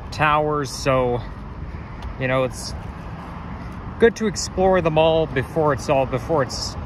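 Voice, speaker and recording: Male walking vlogger, slightly high voice; rambling, self-correcting chatter, outdoor street noise behind. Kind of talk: casual walking vlog commentary. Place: outdoors on city sidewalks near traffic.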